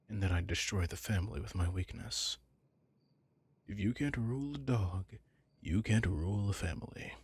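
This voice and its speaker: Husky, low-voiced young mafia heir, played by a man. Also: no room sound, full character voice. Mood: uneasy, apologetic. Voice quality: husky, breathy, gravelly. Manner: halting, gentle, apologetic, slipping into whispers. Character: soft-hearted yandere young mafia heir, hesitant and possessive. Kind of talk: intimate ASMR roleplay audio drama. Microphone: close, dry microphone.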